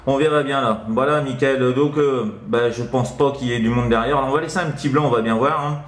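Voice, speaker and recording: A man's voice: mid-range voice, fairly even intonation, fast. A man chatting casually as a CB radio operator, in a hesitant, rambling, chuckling way. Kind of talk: casual radio contact chat. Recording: roomy, echoing room sound.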